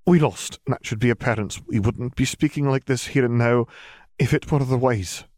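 A man voicing a stern, grave older British witch professor who explains things in a measured, formal way. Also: mid-range voice, a little mellow, dry recording, studio quality, voice pushed up, close to an everyday voice.

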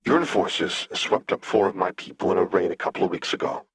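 A man's voice: low voice, fast talker, breathy edge, dry recording. A man voicing a defiant, cocky young male rebel in an audiobook, with taunting, slangy delivery. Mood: smug.

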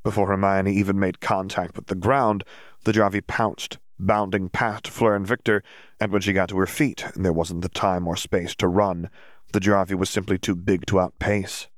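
A man's voice: sits low, level tone, moderate pace, no room sound, studio quality. A man reading scripted fiction aloud as an audiobook narrator.

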